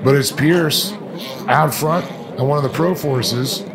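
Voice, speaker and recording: A man's voice: on the high side, slow, crowd noise. A man giving live watercraft race commentary as an announcer, in colloquial, folksy racing slang. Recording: loud engine noise behind voice. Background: loud watercraft engines outdoors.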